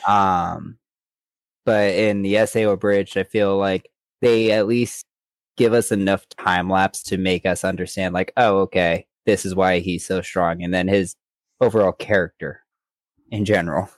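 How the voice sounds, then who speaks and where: Low-pitched man, casual podcast co-host. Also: steady tone, unhurried, no echo. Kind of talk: casual chat.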